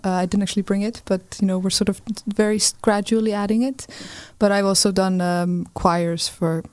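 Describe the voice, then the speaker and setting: A woman from Iceland, a classically trained flutist, talking in a friendly, measured way in a radio studio. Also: medium pitch, fairly even intonation, a little mellow, smooth, dry recording. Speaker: flutist guest. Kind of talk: casual interview chat.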